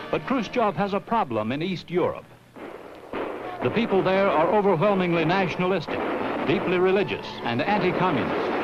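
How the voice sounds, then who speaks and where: An American man reading a scripted 1960s promotional film narration in a grave, emphatic, measured announcer's delivery. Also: on the high side. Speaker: documentary narrator. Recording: dull vintage film soundtrack with music.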